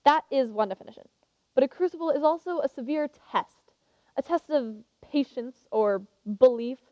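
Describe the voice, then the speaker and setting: A woman's voice: high-pitched, animated, normal speed. A woman playing an eager, passionate teenage girl giving an earnest, emphatic contest speech in a theatrical monologue. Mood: intense, enthusiastic. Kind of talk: theatrical monologue as prepared oration. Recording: muffled, reverberant hall recording.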